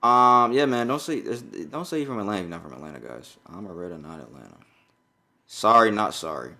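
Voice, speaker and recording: A man's voice: fairly low pitch, lively intonation, a little fast, sharp tone. A young adult man from Jamaica, raised in New York, co-hosting a podcast in loose, profane casual chat. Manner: loose and profane, riffing on a viral song. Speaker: podcast co-host.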